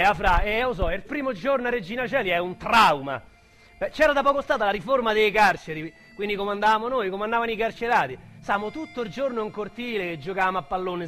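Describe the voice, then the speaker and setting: An actor playing an excitable, streetwise Roman small-time robber, telling his story as a theatrical radio drama monologue in slangy dialect. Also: high voice. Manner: slangy, vulgar, theatrical storytelling with shouts and hushed asides. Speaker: actor narrator. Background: music under the voice.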